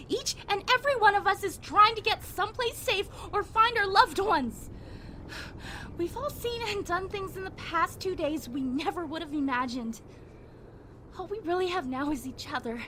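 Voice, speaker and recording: A woman's voice: extremely high pitch, pushed and bright, heavily acted. A woman playing an earnest young survivor in an audio drama, pleading and firm as she keeps the peace. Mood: exasperated, firm. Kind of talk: amateur audio drama acting. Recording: faint background noise.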